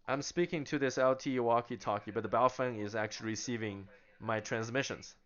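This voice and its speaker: A man's voice: mid-range voice, brisk, fairly bright tone. A man casually demonstrating walkie-talkies, a chatty talker who asks rhetorical questions and answers them himself. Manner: chatty, with small laughs and restarts. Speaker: product demonstrator. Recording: slightly dull lo-fi sound.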